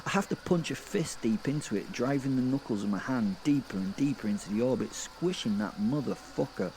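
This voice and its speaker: A man playing a gleefully morbid werewolf killer in a horror audio drama, telling of his fall through hell in a halting, sweary, conversational way. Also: mid-range voice, lively intonation, a little mellow, slight background noise, natural voice. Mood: grim disgust. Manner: rambling, conversational, sweary, halting and self-correcting. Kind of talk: first-person horror audio drama monologue.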